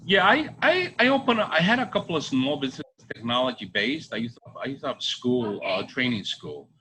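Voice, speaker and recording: A middle-aged man from Bolivia answering interview questions conversationally, halting and repeating his phrases. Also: medium pitch, animated, bright, forceful tone, rough recording. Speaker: restaurant co-owner. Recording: faint background ambience noise.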